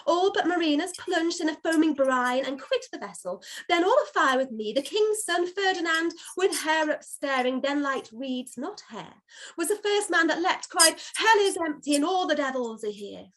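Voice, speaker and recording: A woman's voice: high-pitched, expressive, moderate pace, fairly bright tone, poor audio. A woman playing an airy servant spirit in a Shakespeare reading, declaiming verse in an eager, deferential, theatrical way. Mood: excited, vivid.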